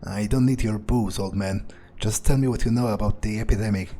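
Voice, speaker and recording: A man playing a smug, cynical vampire in a game roleplay, thinking aloud in a halting, sardonic way. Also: fairly low pitch, steady tone, warm tone. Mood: commanding. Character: smug cynical male vampire, talking to himself. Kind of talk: in-character gameplay roleplay, improvised muttering. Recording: game music behind. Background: video game music.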